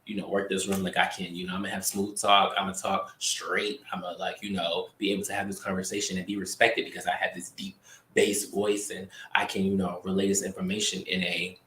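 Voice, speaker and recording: A man co-hosting a casual talk show, talking in an animated, expressive way with drawn-out emphasis. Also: deep voice. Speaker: podcast co-host. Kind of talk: casual chat. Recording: echoey room.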